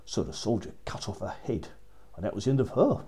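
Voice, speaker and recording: A man's voice: on the low side, big pitch swings, a little fast, warm tone. A man narrating a fairy tale for children as a storyteller, repeating phrases for effect.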